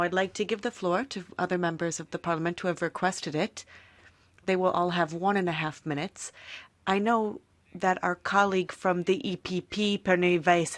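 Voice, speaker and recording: A woman leads a hearing in a formal, procedural voice, handing the floor to speakers. Her pitch sits a little low. The sound is dry and close, with no room in it. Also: no room sound.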